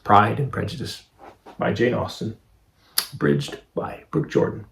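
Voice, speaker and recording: A man's voice: fairly low pitch, fairly even intonation, a little slow, creaky, fairly bright tone, slight background noise. A man reading a picture book aloud to young children, speaking expressively and directly to his listeners. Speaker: picture book reader and host.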